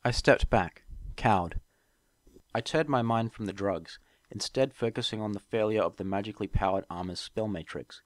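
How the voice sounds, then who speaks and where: A man with a fairly low voice narrates a first-person audiobook. He reads from a script as an amateur narrator, and the sound is dry. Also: normal speed, no echo.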